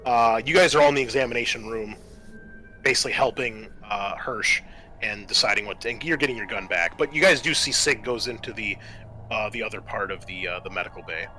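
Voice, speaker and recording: A man's voice: fairly low pitch, brisk, pushed and bright, soft background music, poor audio. A man acting as game master, improvising the narration of a tabletop game.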